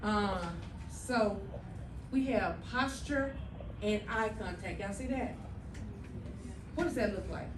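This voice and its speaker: A woman's voice: medium pitch, slow pace, pauses often, background noise. A woman teaching a middle school class, emphatic and checking in with students often. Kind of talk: classroom instruction. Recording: echoey classroom, mic far off.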